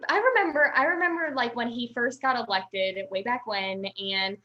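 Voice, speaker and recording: A woman's voice: a little high, normal speed, lo-fi sound. A woman in her twenties chatting casually as a guest, rambling and restarting her sentences. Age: 20s. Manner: rambling, talkative, many restarts and "like". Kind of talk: casual chat.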